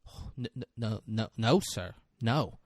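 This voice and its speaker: A man's voice: fairly low pitch, a little slow, a little mellow, no room sound, clean studio sound, voice pushed down. A man voicing a timid, scared young male defendant who stammers and pleads hesitantly in a courtroom film retelling.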